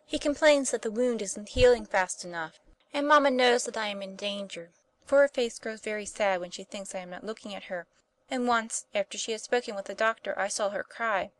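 A woman voicing a gentle, pious young boy who lies wounded, speaking earnestly as an audiobook character. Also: medium pitch, brisk, natural voice. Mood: earnest, serene.

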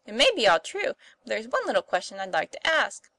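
A woman's voice: on the high side, sing-song, a little fast, sharp tone, dry recording, full character voice. A woman voicing a plucky, blunt young schoolboy in an audiobook, boyish and exclamatory in manner. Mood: puzzled, wondering. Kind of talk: audiobook character voice.

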